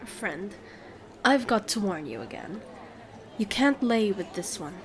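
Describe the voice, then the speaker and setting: A woman plays a mercenary female keeper of a captive creature in a fantasy audio roleplay. She talks very slowly at a medium pitch. Her manner is sly, smooth-talking and mocking. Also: expressive, background noise. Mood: warning, coaxing.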